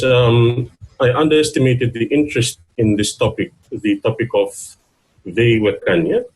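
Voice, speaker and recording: A man with a Fijian accent and a slightly shaky voice, giving an informal talk to an online gathering with hesitant pauses. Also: mid-range voice, a little mellow, husky, rough recording. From Fiji, Lau islands. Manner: hesitant, pausing mid-phrase. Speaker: guest speaker, university student. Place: online video meeting.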